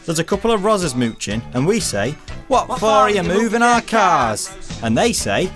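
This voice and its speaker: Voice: medium pitch, sing-song, moderate pace, a bit bright, soft background music. A performer playing a cheeky working-class English storyteller, chatty and colloquial, acting out neighbours' exchanges in a radio play. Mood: indignant, chatty. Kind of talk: scripted storytelling monologue in a stage-style radio play.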